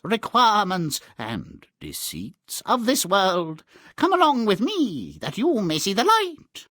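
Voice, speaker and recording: An actor voicing a sly, flattering, bossy cat in boots in a fairy-tale video game. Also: male voice, sits high, no room sound, clean studio sound, exaggerated voice.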